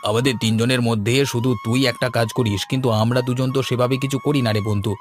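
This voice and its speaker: A man's voice: mid-range voice, steady tone, quick pace, faint music behind, lightly acted. A man voicing an emphatic, argumentative young village lad who schemes with friends in a cartoon story. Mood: regretful.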